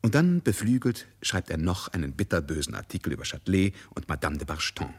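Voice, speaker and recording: A man narrating a literary radio drama with expressive storytelling and deliberate phrasing. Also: deep voice, husky.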